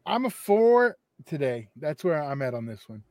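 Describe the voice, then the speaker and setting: A man's voice: fairly high pitch, big pitch swings, moderate pace, no echo, dull audio. A man co-hosting a podcast, chatting casually in a laid-back way. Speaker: podcast co-host.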